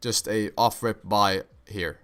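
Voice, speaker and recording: A young man streams live, his speech carrying an American-sounding accent and a slightly low voice. He talks casually and slangily through trading setups, tossing in "bro" and "right?" as he goes. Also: takes it slowly, bright, forceful tone, smooth. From Kosovo.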